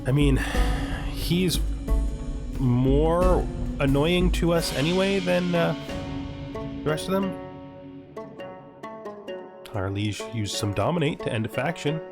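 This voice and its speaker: A man's voice: a little high, expressive, slow, long pauses. Man doing casual game commentary over music. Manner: thinks aloud in fragments, asking himself questions. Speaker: gaming video host. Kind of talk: casual let's-play commentary. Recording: music behind the voice. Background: background music.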